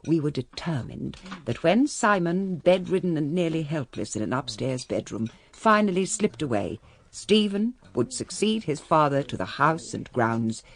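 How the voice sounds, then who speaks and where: A woman's voice: low voice, big pitch swings, normal speed, gravelly, heavily acted. An actress playing a genteel, reflective older English lady of a declining manor, narrating a radio drama in a refined, wry manner. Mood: matter-of-fact. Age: middle-aged or older.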